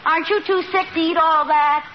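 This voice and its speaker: An actress playing a teasing, sarcastic but doting wife in an old-time radio comedy. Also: female voice, very high voice, fairly even intonation, brisk. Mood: wry, exasperated. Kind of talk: 1940s-50s radio comedy acting. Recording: muffled, very noisy vintage radio recording.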